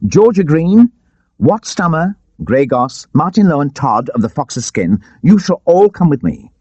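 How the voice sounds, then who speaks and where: A male narrator voices a bold male outlaw chief in a storybook adventure, pitched well up from a low register and swooping widely. He is gleeful and commanding, rallying his men with declamatory vigour. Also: very animated, a little slow, darkish tone, husky, no room sound, lo-fi sound, heavily acted. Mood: rousing, commanding.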